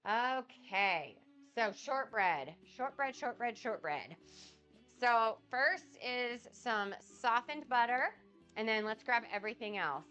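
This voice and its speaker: Woman, cooking stream host, sniffly cold, bright tone, dull lo-fi audio, faint music behind. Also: fairly high pitch, animated, slow pace, smooth. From United States. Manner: chatty, rambling, reads out and answers chat comments. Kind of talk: casual cooking livestream chat with viewers.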